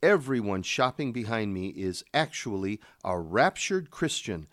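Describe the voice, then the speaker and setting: A man's voice: lowish voice, sing-song, a little slow, fairly bright tone, no room sound, studio quality. A man playing a smug, sexist fundamentalist news reporter, condescending and bombastic, in a radio drama parody. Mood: earnest reporting.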